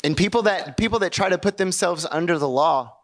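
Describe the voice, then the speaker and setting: Animated young male pastor preaching. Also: high voice, a little fast. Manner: conversational, animated preaching with audience questions. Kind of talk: sermon. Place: church sanctuary before a congregation.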